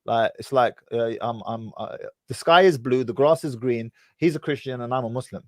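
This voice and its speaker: A man's voice: mid-range voice, normal speed, no room sound, clean studio sound. A man hosting a livestream, pressing a caller with blunt questions and cutting in often. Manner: pushes back, interrupts with blunt questions. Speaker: host.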